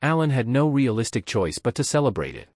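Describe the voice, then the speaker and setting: A man reading scripted documentary narration in a steady, measured storytelling manner. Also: mid-range voice, no room sound, studio quality.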